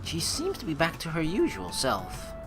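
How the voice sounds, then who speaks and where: A woman with a low voice plays through a story game. She reads aloud and comments in a very animated, dramatic way, her pitch moving widely. Faint game music runs behind her. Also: normal speed. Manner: expressive, dramatic reactions. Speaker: let's play streamer.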